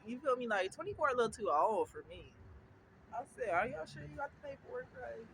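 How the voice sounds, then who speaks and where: Young woman in her twenties, vlogger, chatty and giggly, very expressive pitch, loud city traffic behind. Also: fast, rough recording. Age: 20s. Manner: chatty, keeps asking "you feel me", breaks into laughter. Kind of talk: casual chat to camera. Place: outdoors at a bus stop. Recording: outdoor street traffic noise.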